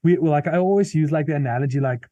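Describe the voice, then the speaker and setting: A man's voice: fairly high pitch, fast, warm tone, no echo, lo-fi sound. A South African man with an Afrikaans accent, earnestly explaining his views in an interview, with frequent restarts. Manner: earnest, thoughtful, many restarts and fillers. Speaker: comedian and musician guest. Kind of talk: interview conversation.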